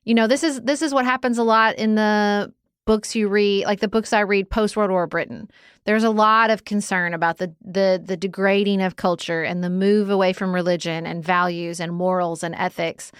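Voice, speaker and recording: A woman co-hosting a podcast, talking through a book in an animated, opinionated, conversational way. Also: medium pitch, moderate pace, clean tone, no room sound, clean studio sound. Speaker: podcast co-host. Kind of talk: casual book discussion.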